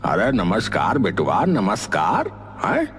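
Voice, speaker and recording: A man's voice: fairly low pitch, huge pitch swings, takes it slowly, a bit bright, breathy edge, exaggerated voice. A man playing a chatty older village headman in a cartoon story, rustic, familiar and nosy. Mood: folksy, emphatic. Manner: rustic, familiar, inquisitive, emphatic. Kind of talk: Hindi cartoon story acting. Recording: reverberant hall sound with faint music.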